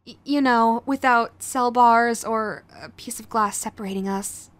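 A woman playing a sweet, clingy yandere girlfriend in an audio roleplay, nervous and halting, gushing and apologetic as she tries to reform. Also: a little high, no echo, close to an everyday voice. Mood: sheepish, longing. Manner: halting, gushing, apologetic, swinging between eager and sheepish. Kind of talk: romantic audio roleplay, acted to the listener.

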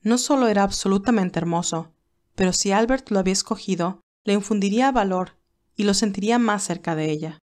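A woman's voice: medium pitch, a little fast, a little mellow. A woman reading scripted narration of a romantic story.

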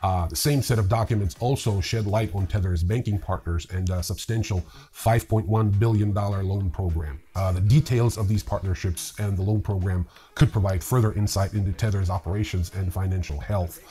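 A man in his fifties with a husky voice, hosting a news show with scripted commentary and personal asides. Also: deep voice, steady tone, brisk, mellow. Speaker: host of a cryptocurrency news video series. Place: small indoor room lined with bookshelves.

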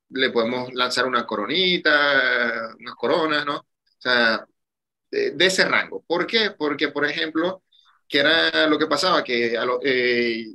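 A man's voice: on the high side, pushed and bright. A man in his thirties, a cigar shop owner, talking casually over a video call from a small room, drawing out his phrases with many fillers. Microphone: webcam on a video call.

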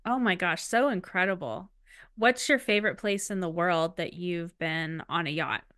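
A woman co-hosting an interview podcast on a video call, asking questions with quick, upbeat reactions. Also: lowish voice, fairly bright tone, no echo, clean studio sound. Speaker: podcast co-host. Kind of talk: casual interview.

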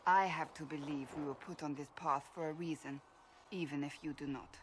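A woman playing a stern, devout and blunt fantasy warrior in a video game, speaking gravely and deliberately. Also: sits low, steady tone, a little hiss or hum, lightly acted. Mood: solemn. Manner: grave, forceful, deliberate phrasing. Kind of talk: video game cutscene acting.

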